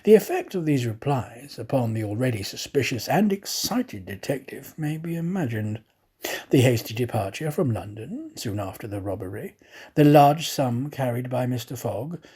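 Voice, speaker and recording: Husky, expressive male storyteller. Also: mid-range voice. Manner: theatrical, dramatic storytelling. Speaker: audiobook reader, professional actor.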